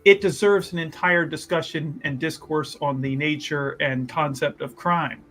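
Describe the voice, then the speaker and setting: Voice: mid-range voice, fairly even intonation, unhurried, fairly bright tone, soft background music. A player at a tabletop game, playful and mock-philosophical, often laughing. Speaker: player voicing a character. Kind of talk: tabletop roleplay banter.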